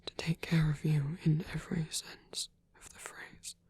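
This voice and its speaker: A performer voicing a gentle, shy, protective male vampire priest in an intimate ASMR roleplay, soft and breathy, speaking in hesitant, tender phrases. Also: mid-range voice, level tone, measured pace, dry recording. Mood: tender, longing.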